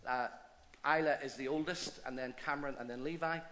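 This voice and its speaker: A man's voice: medium pitch, brisk, slight background noise. A middle-aged man with a Northern Irish accent, a pastor telling his story chattily on a church stage. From Northern Ireland. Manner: chatty, self-deprecating, animated. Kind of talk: stage interview and preaching.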